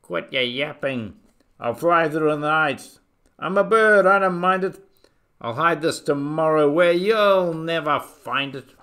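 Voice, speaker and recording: A man's voice: fairly high pitch, measured pace, pushed and bright, pitched above the performer's own voice. Man playing a mean, boastful eagle villain. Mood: taunting boast.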